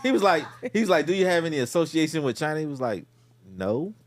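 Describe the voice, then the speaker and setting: A man's voice: fairly high pitch, animated, fast. A young man co-hosting a podcast, blunt and emphatic in casual slangy chat. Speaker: podcast co-host.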